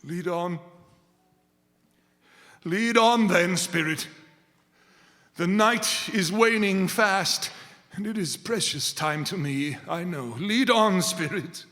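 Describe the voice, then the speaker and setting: An actor playing a frightened, repentant old miser, solemn and pleading, in live radio theater. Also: male voice, high voice, full character voice. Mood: fearful, solemn. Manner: slow, solemn, pleading delivery. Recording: hall reverb, loud music behind.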